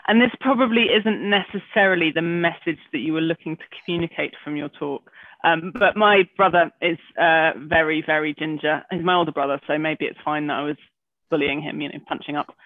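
Male host, high and gravelly, chatty and jokey, tinny phone-line sound. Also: fairly even intonation, fairly quick, dry recording. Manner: chatty, self-deprecating humour. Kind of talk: casual hosting on a video call. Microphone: video call.

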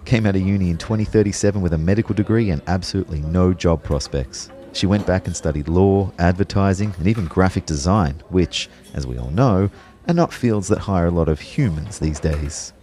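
A man's voice: low-pitched, brisk, warm tone, close to an everyday voice. A man playing a wry young personal trainer who narrates his own life in an audio drama.

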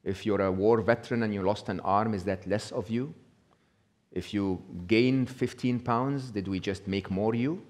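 A man's voice: fairly low pitch, steady tone, normal speed, a little mellow. A middle-aged man giving a live talk, conversational, checking in with the audience with a frequent "okay?". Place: small indoor venue with brick wall.

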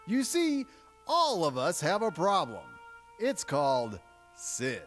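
A man playing an upbeat radio DJ puppet, preaching to children in an emphatic scripted style. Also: high-pitched. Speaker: radio DJ character in puppet show.

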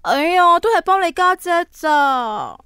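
A woman's voice: sits high, sing-song, unhurried, pushed and bright, dry recording, studio quality, exaggerated voice. An actress playing an envious, flustered young female ghost in a radio drama.

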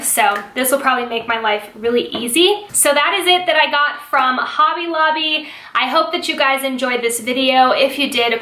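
A young American woman talks in a casual, chatty way, as if showing purchases to her online viewers. Her voice sits a little high and sounds very bright. The recording has a clear room echo from an indoor home space. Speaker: home decor YouTuber. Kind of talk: casual haul vlog chat to camera.

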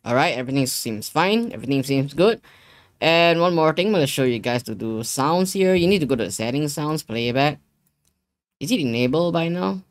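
A young adult man hosting a casual software tutorial, explaining in halting, repetitive phrases with non-native English wording. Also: on the high side, animated. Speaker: tutorial host. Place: living room gaming setup at home.

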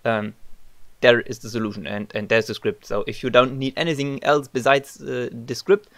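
A man's voice: medium pitch, fairly even intonation, moderate pace, a bit bright, dry recording. A man with a German accent, a non-native English speaker, talking through a screen-recorded modding tutorial with many ums and uhs.

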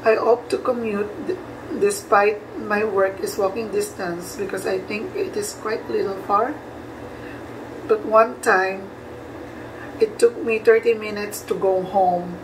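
A woman's voice: medium pitch, fairly even intonation, a little slow. A young adult woman from Cebu with a Cebuano Filipino accent, a native Cebuano speaker, giving a prepared personal vlog talk to camera. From Cebu, Philippines. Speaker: vlogger. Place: small plain room indoors. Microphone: phone camera a couple of metres away. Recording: distant phone mic, noisy room.

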